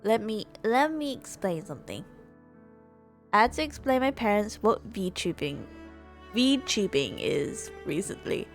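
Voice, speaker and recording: A woman's voice: medium pitch, big pitch swings, measured pace, faint music behind. A woman livestreamer chatting casually in a hesitant, rambling way, often repeating and rephrasing herself. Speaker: livestreamer and gamer.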